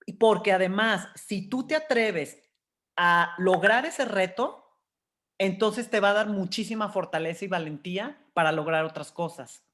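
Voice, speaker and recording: A woman in her fifties speaks Spanish with a Mexican accent at a middle pitch. She is an interview guest sharing personal experiences in a candid, conversational way, with an expressive delivery that often acts out her own thoughts. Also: moderate pace. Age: 50s.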